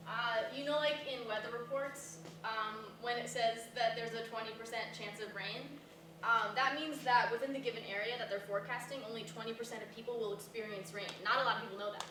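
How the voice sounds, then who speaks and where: Flighty young woman an actress's stage role, higher-pitched, rambling comedy delivery; very echoey, distant pickup. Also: steady tone, fairly quick, close to an everyday voice. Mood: chatty, rambling. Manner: rambling, hesitant, filler-laden. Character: flighty, blunt young woman, a fame-hungry college dropout. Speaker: stage actor in a staged reading. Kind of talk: naturalistic stage comedy dialogue. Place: live staged reading in a reverberant room. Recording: echoey room from far off, noisy.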